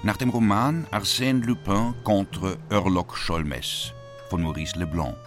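A male radio drama announcer reads in a formal style. His voice is deep and unhurried, set over plucked guitar music.

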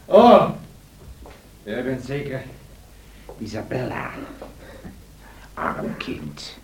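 An actor playing an embittered, menacing drunkard in a radio drama, speaking in hushed, halting, conspiratorial tones. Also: male voice, mid-range voice, huge pitch swings, a little hiss or hum, exaggerated voice. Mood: bitter, weary. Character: embittered, menacing drunkard master of a moorland house.

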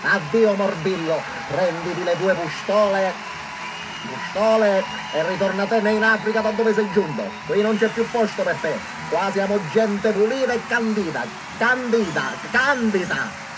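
An actor playing a pompous, bombastic small-town official, orating grandly in a satirical radio drama with mangled flowery rhetoric. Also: male voice, high voice, unhurried, background noise. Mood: exultant.

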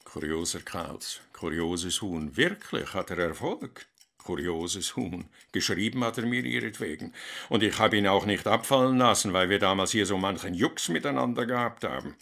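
An actor playing a very fat, grey-bearded hotel general manager in a German radio play, jovially gruff, musing and repeating himself. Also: male voice, deep voice, normal speed, fairly bright tone, full character voice. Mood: musing, hesitant.

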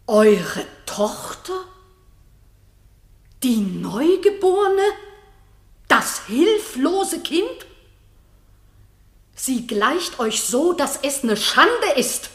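A woman's voice: high-pitched, very animated, drawn-out words, pauses often, reverberant, heavily acted. An actress playing a bold, righteous, outspoken noblewoman in a classic German radio drama, declaiming with defiance. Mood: pleading, insistent.

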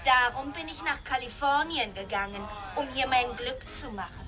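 A woman's voice: high voice, expressive, normal speed, music in the background. An actress playing a young, wistful small-town woman in a radio play, plaintive and girlish as she talks.

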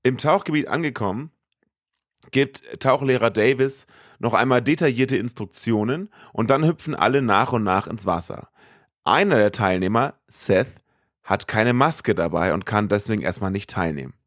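A man's voice: mid-range voice, expressive, normal speed, no echo, clean studio sound. A man, a podcast host narrating a scripted, colloquial recap of a film.

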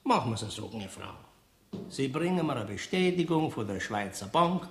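A man's voice: mid-range voice, big pitch swings, moderate pace, roomy sound. An actor playing a shrewd, stubborn male moneylender in a radio play, wheedling in Bavarian dialect. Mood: wheedling, businesslike.

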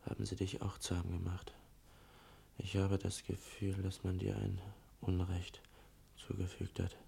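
Give me a slow, troubled, low-voiced son. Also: male voice, completely flat delivery, creaky, dark tone, breathy edge, full character voice. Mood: troubled, musing. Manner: halting, musing, confiding. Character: earnest, troubled teenage son writing to his absent mother. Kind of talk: German radio play monologue, 1960. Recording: old radio recording with rumbling noise.

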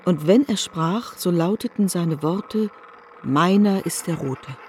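A woman's voice: fairly low pitch, takes it slowly, darkish tone, slight background noise. A woman narrating a literary radio drama in a formal, explanatory manner.

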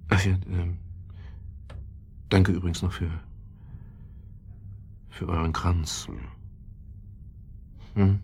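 Deep, gravelly, brooding widower. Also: male voice, little pitch movement, a little mellow, a little hiss or hum, full character voice. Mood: pointed, suspicious. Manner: halting, repeats himself, sudden agitated outbursts. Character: grieving widower, brooding, doubting, bitter, obsessed with guilt. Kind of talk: German radio crime drama acting.

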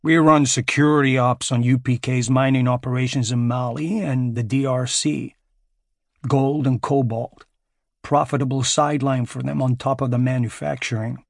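A man's voice: medium pitch, fairly even intonation, normal speed, gravelly, no echo, clean studio sound. A man voicing a burly, crude American ex-marine security contractor with an American accent. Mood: brash. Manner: crude, swaggering, profane.

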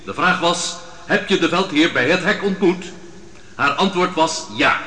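An actor playing a stern, formal judge presiding over a biblical trial in a radio drama, authoritative and clipped. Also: male voice, fairly high pitch, measured pace, pushed and bright. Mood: stern, official. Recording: echoing hall with long reverb.

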